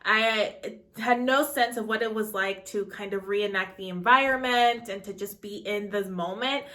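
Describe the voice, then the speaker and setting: A woman's voice: medium pitch, moderate pace, a bit bright. A woman in her late twenties, an actor, chatting nervously and rambling with many fillers in a casual reaction vlog.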